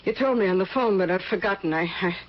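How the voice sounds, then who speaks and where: An actress playing a rich, ailing, reclusive old woman in a radio drama, halting and fussy, repeating her words. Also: mid-range voice, lightly acted. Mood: haughty, rambling. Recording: dull, lo-fi old radio recording.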